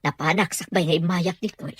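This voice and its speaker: A woman's voice: fairly low pitch, steady tone, brisk, slightly rough, dry recording. A woman with an Ilocano accent playing a caring, worried middle-aged mother in an audio drama.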